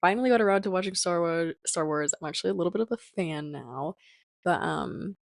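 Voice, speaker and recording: A young woman in her early twenties talks casually and reflectively as a solo podcast host. Her voice sits slightly low and often drops into creak. She rambles conversationally, leaning on filler words, and the sound is close and dry. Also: fairly quick, dry recording. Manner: chatty, rambling, sprinkled with "like" and "you know".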